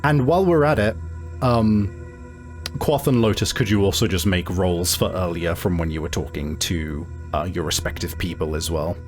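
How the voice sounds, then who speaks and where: A man's voice: low-pitched, normal speed, faint music behind. A man acting as game master, casually instructing players on rolls and rules. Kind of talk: casual running of tabletop game.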